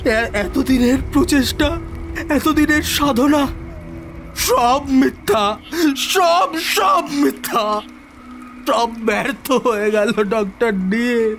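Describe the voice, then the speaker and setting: A man's voice: very high-pitched, slow pace, a bit bright, soft background music, heavily acted. An actor playing an anguished male scientist in a horror audio drama, theatrical and impassioned, often repeating his words. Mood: despairing.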